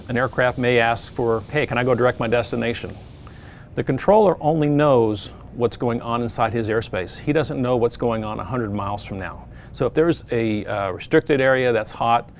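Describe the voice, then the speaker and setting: A man's voice: medium pitch, vocal fry, a little hiss or hum. Veteran controller man, plain practical advice. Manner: plain, practical, frequent fillers. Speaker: air traffic controller. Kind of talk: explaining procedures to pilots.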